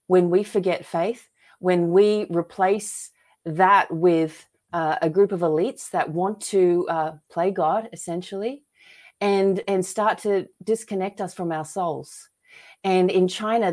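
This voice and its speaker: An Australian woman with a slightly low-pitched voice talks as a podcast guest. Her delivery is earnest and emphatic, in a conversational storytelling style. She speaks as someone sharing her own experience and convictions. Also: a little mellow. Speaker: podcast guest, author and filmmaker. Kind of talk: conversational interview, personal storytelling.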